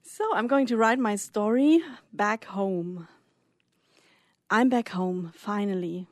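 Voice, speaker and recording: A woman with a German accent reads a short story of her own. Her voice is mid-pitched, and the sound is dry and close, with little echo. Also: slow. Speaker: writer reading own story. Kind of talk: story reading.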